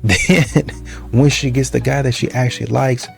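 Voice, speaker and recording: A man giving casual, opinionated commentary in a halting way, often repeating himself. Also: medium pitch, steady tone, creaky, faint music behind. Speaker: reaction show host.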